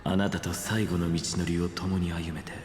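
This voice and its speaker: An actor voicing a solemn, courteous fantasy game character with formal phrasing. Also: male voice, sits low, level tone, quick pace, a little mellow, a little hiss or hum, close to an everyday voice. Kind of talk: Japanese video game voice acting. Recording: echoey reverberant game audio with music.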